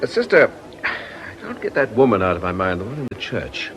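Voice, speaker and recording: An actor playing a mild, apologetic priest in a radio drama, polite and diffident in manner. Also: male voice, on the low side, big pitch swings, fairly quick, fairly bright tone, slight background noise, poor audio. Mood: curious.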